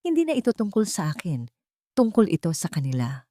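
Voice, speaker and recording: A woman's voice: lowish voice, wildly swooping pitch, a little fast, mellow, no room sound. Distressed Filipino wife, pleading, as a woman narrator plays her. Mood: resolved. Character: distressed betrayed wife and protective mother. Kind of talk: scripted story dialogue.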